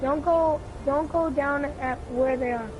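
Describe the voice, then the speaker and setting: A high-voiced gamer casually chatting and calling out tactics to teammates during a multiplayer video game. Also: fairly even intonation, unhurried, dull audio, music in the background. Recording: clearly noisy gaming mic recording.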